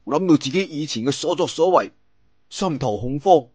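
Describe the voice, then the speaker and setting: A Cantonese man voicing an impetuous, fervent young martial-arts hero in an emphatic, excitable way for a storybook. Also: a little high, normal speed, dry recording. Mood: stirred, devoted.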